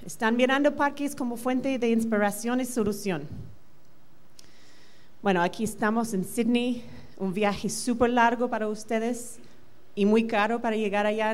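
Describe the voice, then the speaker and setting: A middle-aged American woman whose first language is English, giving a conference talk in a large hall on a handheld mic, quick with many fillers. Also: on the high side. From United States. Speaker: conference presenter. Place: large hotel conference room. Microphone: handheld microphone.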